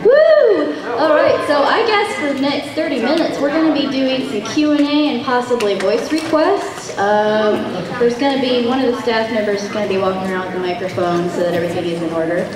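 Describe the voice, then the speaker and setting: A woman's fairly high voice carries from a distance through an echoey convention hall. She hosts a question session casually and upbeat, with a noisy crowd around her. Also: animated, brisk, few pauses. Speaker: panel host, production team member. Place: large convention hall, live audience.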